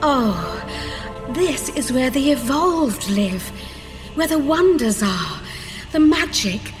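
A woman's voice: high-pitched, animated, slow. A woman acting an awestruck figure marvelling at wonders in a science fiction audio drama. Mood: awed. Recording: long hall reverb, music underneath.

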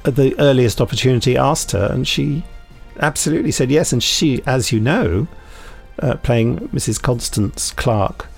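A man's voice: medium pitch, normal speed, creaky, husky, soft background music. A British man hosting a podcast, chatting casually with rambling, self-mocking, dryly jokey anecdotes. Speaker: podcast host and audio drama maker.

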